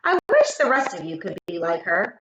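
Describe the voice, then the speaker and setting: A woman's voice: lowish voice, huge pitch swings, normal speed, a bit bright, muffled recording. A woman voicing an easygoing, approving woman schoolteacher in a children's storybook. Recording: roomy echo with faint noise.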